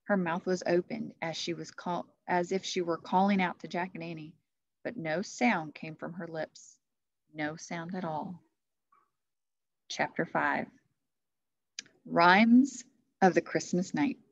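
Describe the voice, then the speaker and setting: A woman in her thirties reading a children's story aloud like a teacher, with expressive narration. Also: on the low side, takes it slowly, creaky, pauses often, dry recording. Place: classroom, indoors. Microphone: computer webcam at desk distance. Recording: muffled webcam audio.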